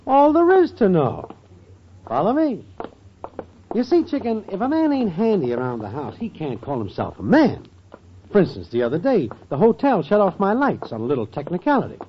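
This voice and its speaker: A man's voice: high voice, wildly swooping pitch, moderate pace, exaggerated voice. An actor playing a cocky, slangy American wise-guy boyfriend in a 1940s radio sitcom. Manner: breezy, slangy, clipped. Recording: muffled, hissy old-time radio recording.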